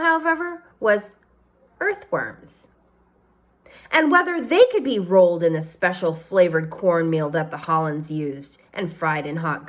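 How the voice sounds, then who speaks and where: A woman teacher reading a children's novel aloud with expressive storytelling and varied emphasis. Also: on the high side.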